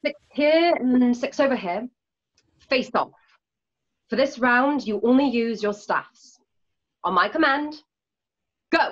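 An actress plays a commanding woman trainer in a radio drama. Her voice is high and measured, stern yet caring. The recording sounds dull, like a video call. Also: lively intonation.

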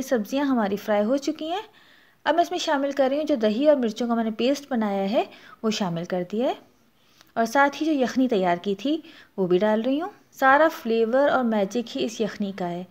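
A woman with a Pakistani Urdu accent hosting a cooking channel, narrating a recipe step by step and adding practical tips. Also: fairly high pitch. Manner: explanatory, instructive, gives practical tips.

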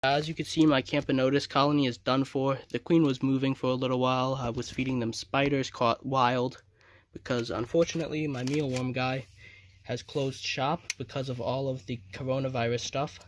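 A man's voice: mid-range voice, flat delivery, normal speed, a little hiss or hum. A young man casually narrating his own home video as a vlogger. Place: indoors in a room at home. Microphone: handheld phone behind the camera.

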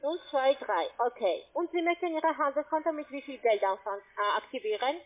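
A woman whose first language is not German, pitching an investment over the phone in halting, broken phrases. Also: animated. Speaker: scam call center agent. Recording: tinny phone line.

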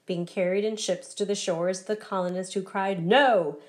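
A woman reading a children's story aloud as scripted narration, in a deliberate, rhythmic recitation. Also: medium pitch, little pitch movement, darkish tone. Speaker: storybook reader.

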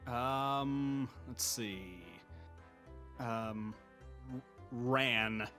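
A man's voice: mid-range voice, extremely slow, bright, forceful tone, faint music behind. A man chatting casually in a group game, hesitant as he thinks aloud. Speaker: player in a word game. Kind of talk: casual chat.

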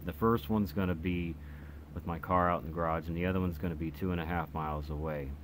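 A man's voice: sits low, steady tone, fast talker. A man giving a casual, informal product demonstration as a hobbyist reviewer, speaking somewhat hesitantly. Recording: noisy home video recording. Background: clear background noise.

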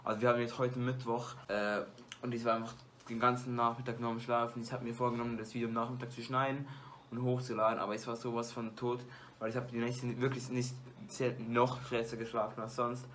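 A man's voice: medium pitch, level tone, fast talker, a bit bright, slight background noise. A teenage boy vlogging from his bedroom, rambling casually to a webcam with lots of fillers and restarts. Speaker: YouTuber. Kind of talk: casual movie-ranking vlog. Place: small bedroom lit with colored LED lights.

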